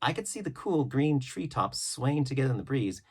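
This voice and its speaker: A man reading an adventure novel aloud as a storybook narrator, with measured, dramatic phrasing. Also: medium pitch, very animated, breathy edge. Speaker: audiobook reader.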